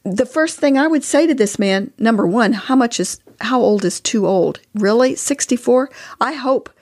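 A woman's voice: a little high, expressive, moderate pace, a little mellow. Earnest older woman in conversation. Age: older, past sixty-four. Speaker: podcast guest, author and co-host spouse. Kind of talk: casual advice conversation.

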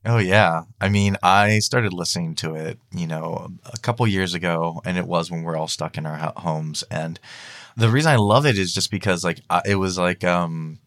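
Low-voiced man in rambling casual chat. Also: normal speed, a bit bright. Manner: rambling, peppered with "like". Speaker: podcast guest.

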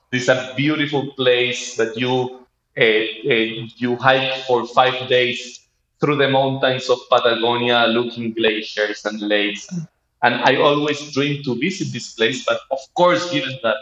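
A man in his thirties with a Chilean Spanish accent tells his own story as a guest. He speaks haltingly at a medium pitch, in a reverberant room with somewhat lo-fi sound. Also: fairly bright tone. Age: 30s. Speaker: interview guest, startup co-founder. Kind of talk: personal storytelling in an interview. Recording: roomy, dull lo-fi sound.

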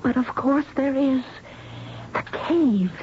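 A woman's voice: sits high, slow pace. An actress playing an earnest, anxious but brave young woman in an old radio mystery, with a dramatic delivery. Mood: urgent, hopeful. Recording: muffled radio recording with faint hiss.

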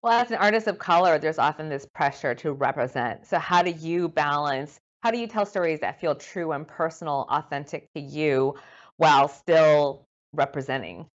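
A woman interviewer puts fluent, prepared questions to her guest. Her voice is very low for a woman. The recording is dull and lo-fi, with muffled highs. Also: normal speed.

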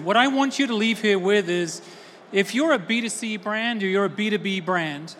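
A woman from Texas giving an energetic, conversational talk at a conference and asking the audience questions. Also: medium pitch, slight background noise. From Austin, Texas. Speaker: conference keynote speaker. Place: large conference hall. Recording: roomy hall with faint ambience.

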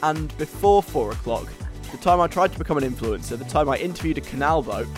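A man's voice: a little high, lively intonation, quick pace, soft background music. A British man hosting a radio show in a casual, chatty, wry and self-deprecating conversational way.

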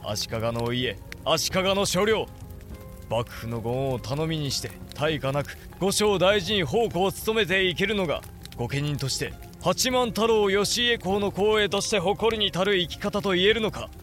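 An ambitious young samurai lord in a period radio play. His voice sits high for a man and carries defiant, declamatory fervor in archaic warrior phrasing. Music plays clearly behind him. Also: a bit bright. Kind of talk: Japanese period audio drama acting.

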